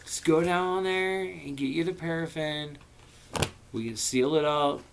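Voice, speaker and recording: A man's voice: on the high side, a little slow, creaky, background noise, pitched above the performer's own voice. A man voicing a bossy, exasperated boy, an impatient big brother scolding in a storybook reading.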